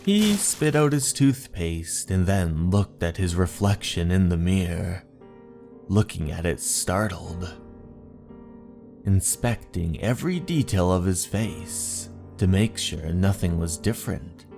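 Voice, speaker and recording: A man narrating a scripted horror story in a deliberate, suspenseful, dramatic manner over music. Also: low-pitched, lively intonation, vocal fry, darkish tone. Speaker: narrator of horror manga stories. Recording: music under the voice.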